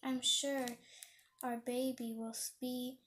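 A woman voicing an excitable, devoted male duck in a storybook, earnest and a little halting. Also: high-pitched, slight background noise. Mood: fond.

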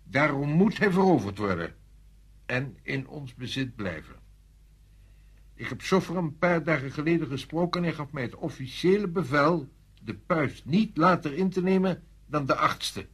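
An actor playing a senior French army general in a radio drama, authoritative, insistent and deliberate in manner. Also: male voice, on the high side, lively intonation, husky. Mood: grave, confidential.

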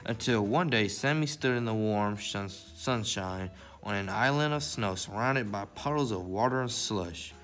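A man's voice: on the low side, measured pace, hardly any pauses, a bit bright. A man hosting a library story time, presenting to children in the manner of a read-aloud and simple how-to.

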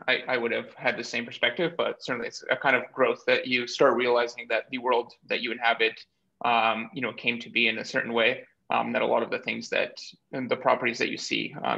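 A man in his thirties from Bulgaria, explaining his background in a measured way with many pauses, speaking as a guest over a video call from a small room. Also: medium pitch, creaky, pushed and bright. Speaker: guest, startup cofounder. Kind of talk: remote interview. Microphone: webcam or laptop mic on video call. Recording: dull lo-fi video call audio.

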